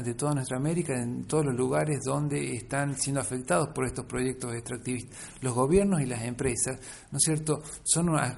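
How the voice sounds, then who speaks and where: A man's voice: medium pitch, a little mellow. A man with an Argentine Spanish accent explains things in a radio interview, emphatically and discursively, often checking in with short tag questions. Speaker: interviewed academic researcher and author. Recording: clear background noise.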